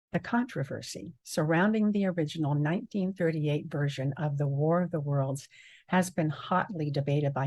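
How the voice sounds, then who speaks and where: A woman's voice: low voice, a little mellow, low-quality recording. Older woman introducing a radio play. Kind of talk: prepared spoken introduction.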